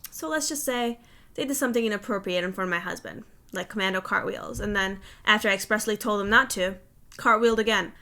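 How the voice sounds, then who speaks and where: A woman reading a humorous personal essay aloud in an expressive, emphatic, mock-stern way. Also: medium pitch, quick pace.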